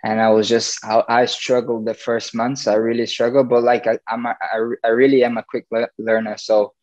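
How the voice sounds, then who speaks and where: A young Brazilian man, Portuguese his first language, with a northern English edge to his accent. He talks in a flat, even tone, full of loose fillers. The sound is dull and lo-fi, like a laptop on a video call. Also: mid-range voice, moderate pace. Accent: Brazilian with a northern English tinge. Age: 20s. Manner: loose and chatty, many fillers like "like" and "you know". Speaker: footballer, interview guest. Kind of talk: casual interview over video call. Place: small room at home, plain wall. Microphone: laptop webcam video call.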